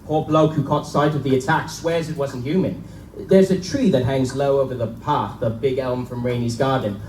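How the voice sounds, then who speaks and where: A man's voice: mid-range voice, normal speed, darkish tone, close to an everyday voice. Man acting breezy young college chap, roomy recording. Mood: jaunty, gossipy. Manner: chummy old-fashioned slang, casual. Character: breezy young Oxford chap, sporty gossiping student. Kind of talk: live dramatic reading of gothic pulp tale. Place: live stage reading in a bookstore. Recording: echoey live recording, distant mic, faint noise.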